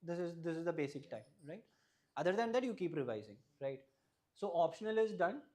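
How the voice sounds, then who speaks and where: A man's voice: fairly high pitch, big pitch swings, a little fast, a little mellow. Young man, native Malayalam speaker, advising. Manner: advisory, often ends points with a questioning "right?". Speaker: exam topper giving advice. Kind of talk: explanatory interview answers.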